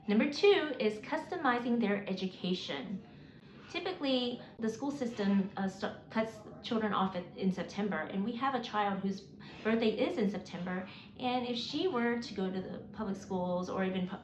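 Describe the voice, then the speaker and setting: A woman in her forties, raised in Mississippi, working through a prepared list of reasons in an earnest, measured way with occasional restarts. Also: mid-range voice. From South Korea, raised in Mississippi. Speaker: homeschooling mom. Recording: roomy, slightly dull, faint hiss.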